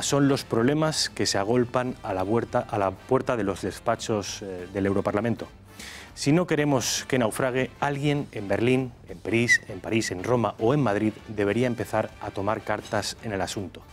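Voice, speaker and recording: A man from hosts a studio panel debate. He reads a measured opening editorial, then trades friendly quips while introducing his guests. Faint music sits beneath his voice. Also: mid-range voice. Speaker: TV debate show host. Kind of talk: television panel talk show.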